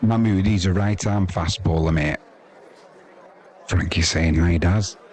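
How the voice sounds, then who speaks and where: A man's voice: low-pitched, a little slow. A man doing casual, chatty live cricket commentary and talking to viewers, with dry, informal asides. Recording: crowd noise behind the voice. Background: sports crowd.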